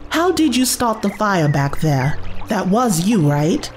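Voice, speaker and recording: A woman's voice: mid-range voice, very animated, slow, over music. A woman playing a caring, bold young companion to an adventurer, earnest and coaxing, in an audio drama. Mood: gentle, earnest.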